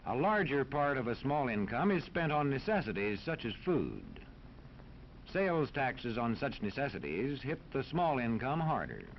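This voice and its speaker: An American man narrating a scripted 1940s educational film in a formal, authoritative, measured manner. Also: medium pitch, very animated. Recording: dull 1940s film soundtrack, faint noise.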